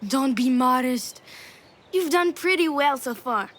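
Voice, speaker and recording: A woman voicing a young daughter in a dramatic video game, curious, gentle and consoling, speaking with earnest support. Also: high voice, lively intonation, measured pace, heavily acted. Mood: warm, encouraging.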